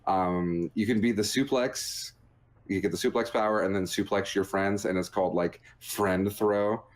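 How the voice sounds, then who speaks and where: A man's voice: on the low side, fairly even intonation, normal speed. A man co-hosting a gaming podcast in casual chat, with a jokey, rambling way of talking. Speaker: podcast co-host reviewing video games.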